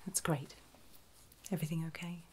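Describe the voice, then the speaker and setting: A woman speaks in a low, breathy whisper close to the microphone. She plays a medical examiner in an ASMR roleplay, gently reassuring and guiding step by step. Some background noise and small clicks sit behind her voice. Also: fairly even intonation, moderate pace. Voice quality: soft, mostly unvoiced breathy whisper. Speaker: ASMR performer playing a pre-op medical examiner.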